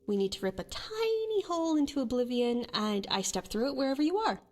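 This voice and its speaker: A woman's voice: high voice, sing-song, fairly quick. An actress voicing a young woman adventurer and hunter, a guilt-haunted but bold companion in a fantasy game, speaking in a natural, conversational way. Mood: casual, coaxing. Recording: music under the voice.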